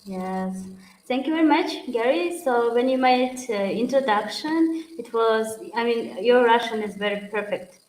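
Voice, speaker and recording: A woman's voice: fairly high pitch, a little slow, darkish tone, roomy sound, low-quality recording. A woman hosting an online Q&A, polite, with non-native phrasing. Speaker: host, moderator. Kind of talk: moderating a Q&A.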